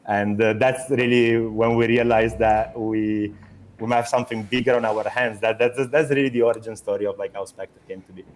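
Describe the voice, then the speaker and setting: A man's voice: lowish voice, fairly even intonation, moderate pace, low-quality recording. A young adult man from Italy with an Italian accent, a startup co-founder talking in an interview, hesitant with many restarts and fillers. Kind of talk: conversational interview.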